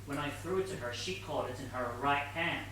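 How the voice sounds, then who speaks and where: Man playing an earnest, bright-voiced junior detective. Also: a little high, fairly quick. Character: earnest, hesitant junior detective sidekick, male. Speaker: stage actor. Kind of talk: stage comedy murder mystery acting. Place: theatre stage, recorded from a distance. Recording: roomy distant stage recording, noisy.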